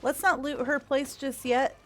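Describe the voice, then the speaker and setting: A woman's voice: high voice, flat delivery, measured pace, fairly bright tone, slight background noise. A woman giving casual, wry commentary as she plays a video game, thinking aloud. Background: faint game music.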